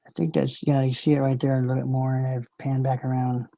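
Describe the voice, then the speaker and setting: A man's voice: medium pitch, flat delivery, quick pace, vocal fry, warm tone. A man hosting a live stream, chatting casually in a rambling, laid-back way and chuckling often. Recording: dull lo-fi stream audio.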